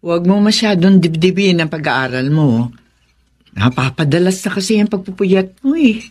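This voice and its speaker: A woman playing a caring, worrying middle-aged mother in a Filipino radio drama, gently chiding. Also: lowish voice, animated, moderate pace. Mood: concerned, caring.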